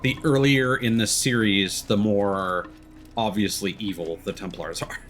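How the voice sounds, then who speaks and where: A man giving casual, thoughtful let's play commentary, often halting mid-sentence as he works through an idea. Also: fairly low pitch, fairly even intonation, a bit bright, slight background noise. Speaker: game streamer. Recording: game music behind the voice. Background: video game music.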